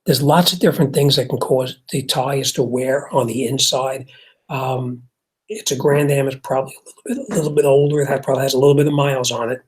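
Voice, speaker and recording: A middle-aged man with a New Jersey accent chats casually on a livestream, answering car questions from viewers. His voice is a little husky, and he talks in an easygoing way full of fillers and restarts. His pitch sits in a normal range for a man. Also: fairly quick. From Jersey City, New Jersey. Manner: conversational, reads chat comments aloud, frequent fillers and restarts. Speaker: host and auto mechanic answering viewer questions.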